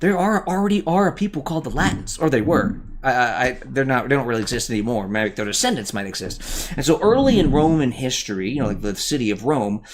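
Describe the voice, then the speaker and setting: A man's voice: mid-range voice, expressive, quick pace. A man hosting a casual live commentary, thinking aloud and correcting himself as he goes. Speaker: channel host.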